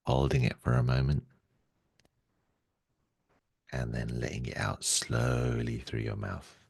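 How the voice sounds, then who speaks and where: A man leading a guided meditation in a gentle, soothing way, speaking slowly and softly with long pauses. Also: a bass voice, little pitch movement, creaky, slightly rough, no room sound, clean studio sound.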